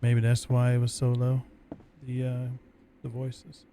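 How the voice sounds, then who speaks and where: A man's voice: mid-range voice, completely flat delivery, a little slow, mellow, a little hiss or hum. A man casually commenting on a horror game as he plays, musing aloud in a laid-back way. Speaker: gameplay streamer.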